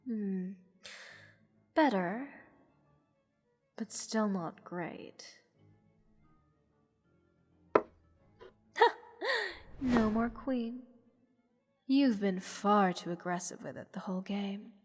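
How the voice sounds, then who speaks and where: A woman playing a haughty, playful goddess who teases a captive mortal in an unhurried, condescending manner, in a roleplay audio drama. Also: medium pitch, a little mellow. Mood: taunting. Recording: long hall reverb. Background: music.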